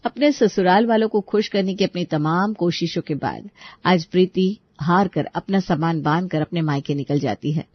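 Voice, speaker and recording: A woman's voice: on the low side, moderate pace, dry recording, dull audio. Woman narrating a dramatic story.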